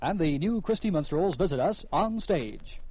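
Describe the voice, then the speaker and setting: Man, American accent, slightly high voice, scripted radio announcing, muffled old broadcast, music underneath. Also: lively intonation, moderate pace. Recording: dull vintage radio recording with music.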